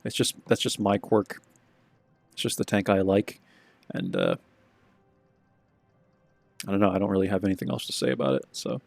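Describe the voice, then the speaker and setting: A man giving laid-back, rambling commentary over his own gameplay, his voice a bit hoarse and cracking. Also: deep voice, huge pitch swings, normal speed, pauses often, a little mellow, dry recording, faint music behind.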